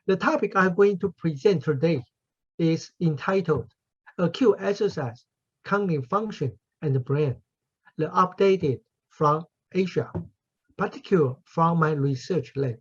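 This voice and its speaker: A man from Taiwan with a Taiwanese accent giving a formal academic conference talk as a professor, speaking carefully and deliberately with small grammar slips. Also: a little high. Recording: muffled, husky lo-fi audio.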